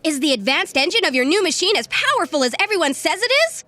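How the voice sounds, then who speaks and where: A woman playing an excitable young race reporter in a dubbed cartoon, bubbly and bright. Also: high voice, quick pace, dry recording. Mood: excited. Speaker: race announcer.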